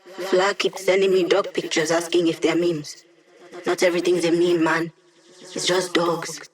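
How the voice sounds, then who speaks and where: A woman's voice: lowish voice, flat delivery, a little slow, lightly acted. A woman playing a wry, playful young hacker in a video game, with a casual, quippy way of talking. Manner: breezy, casual, teasing, quippy. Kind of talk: scripted game character voice lines.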